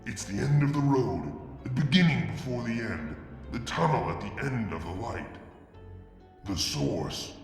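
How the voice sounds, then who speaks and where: A man narrates a dark fan fiction story in the first person, brooding and deliberate. His voice is gravelly, with lively shifts in pitch. The recording has a long, ringing reverb. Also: soft background music. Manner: brooding, deliberate, with dramatic drops in loudness. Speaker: fanfiction reader. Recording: reverberant room with long echo.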